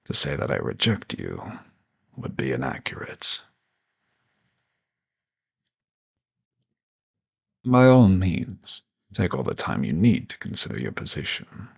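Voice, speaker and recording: A very low, husky dragon, played by a man, lecturing as a haughty old professor in an audio roleplay. His delivery is pompous and ornately formal, swinging widely in pitch with condescending grandeur. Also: wildly swooping pitch, normal speed, exaggerated voice. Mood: condescending, softening. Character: haughty ancient dragon professor, male, condescending yet flirtatious. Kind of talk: scripted audio roleplay monologue addressed to the listener.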